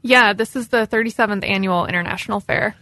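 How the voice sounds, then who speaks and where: A woman's voice: mid-range voice, brisk, pushed and bright, dry recording. A woman working as a college administrator, explaining an event on a radio show with "um" fillers. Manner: informative, with "um" fillers. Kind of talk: radio interview guest.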